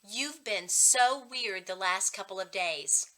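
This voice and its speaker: Woman voicing a bright, pestering young sister. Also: medium pitch, takes it slowly. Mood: exasperated. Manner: insistent, questioning.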